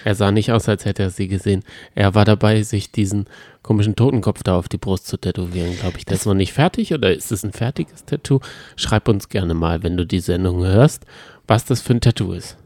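Man, fairly low voice, dry studio-like sound, colloquial, teasing podcast banter. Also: fairly quick, darkish tone, dry recording. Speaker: podcast co-host. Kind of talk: casual chat about reality TV.

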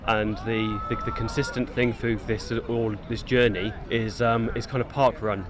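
A man, reflective and halting as he speaks. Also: lowish voice, little pitch movement. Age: 50s. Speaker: parkrun runner interviewee. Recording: outdoors with crowd noise.

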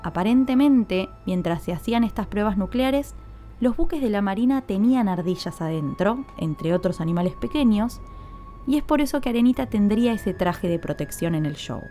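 Female podcast host. Dark, soft-edged timbre, mid-range pitch, clear explanatory scripted delivery aimed at the listener. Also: lively intonation, normal speed, soft background music. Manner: explanatory, addresses listeners directly.

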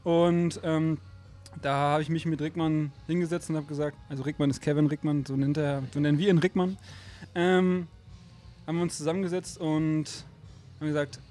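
A man's voice: fairly high pitch, level tone, moderate pace, warm tone, soft background music. A man in a band, a native German speaker, telling a long story in a casual interview, rambling with lots of fillers and frequent laughter.

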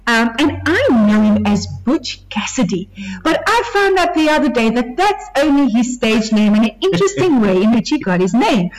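A woman hosting a faith show, praying earnestly and then gently interviewing her guest. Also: fairly high pitch, very animated, low-quality recording. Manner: earnest, prayerful, unhurried. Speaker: radio show host.

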